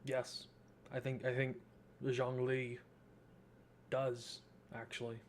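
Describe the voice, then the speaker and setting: A man's voice: medium pitch, monotone, takes it slowly, slight background noise. A man hosting a gameplay video with casual, informal commentary, reacting in short asides. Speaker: gaming video host. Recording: soft game music behind.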